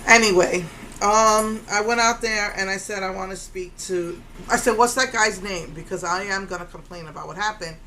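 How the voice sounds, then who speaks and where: A woman's voice: mid-range voice, moderate pace, bright, forceful tone, slight background noise, rough recording. Indignant woman with a strong voice. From Puerto Rico. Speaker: vlogger. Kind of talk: casual storytelling rant.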